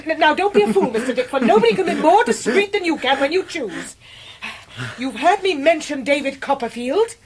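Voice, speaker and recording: An actress playing a brusque, eccentric, soft-hearted middle-aged English aunt in a radio drama, sharp, bossy and exclamatory. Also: female voice, high voice, expressive, a little fast, a crowd in the background. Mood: brisk, bossy. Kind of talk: radio drama acting of a Victorian classic.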